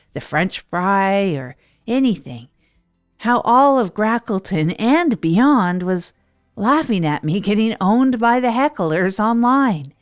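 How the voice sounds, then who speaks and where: Hapless advice-show radio host for audio comedy,, hesitant, confessional, mid-low pitch, music underneath. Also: female voice. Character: self-deprecating failed-entrepreneur radio host Tog, trying stand-up comedy. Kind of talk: improvised comedy monologue in an audio drama.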